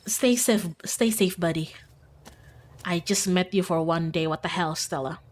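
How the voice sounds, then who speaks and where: A woman streamer giving playful game commentary on a visual novel, excitable and often repeating words as she goes. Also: on the low side, a little slow.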